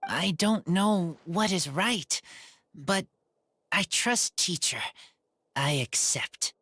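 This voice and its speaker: An actor playing an earnest, downcast feral boy who speaks in halting, simple sentences with a husky voice in a video game. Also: medium pitch, lively intonation, pushed and bright, no room sound, clean studio sound, exaggerated voice. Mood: resolved. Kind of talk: video game cutscene acting.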